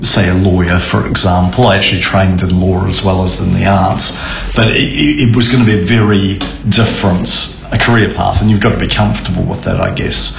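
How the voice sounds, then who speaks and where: A middle-aged man with a New Zealand accent, a theatre chief executive answering radio interview questions in a measured, thoughtful way with frequent ums and restarts. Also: low voice, creaky. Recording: roomy, dull sound with faint background noise.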